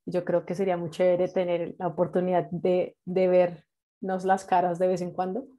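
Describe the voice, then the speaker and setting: A young woman with a Colombian accent, a native English speaker, teaches an online class informally, encouraging her students in a halting way with frequent check-in questions. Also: fairly low pitch, completely flat delivery, warm tone. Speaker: medical English teacher and nurse. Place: indoors, on a video call. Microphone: video call microphone.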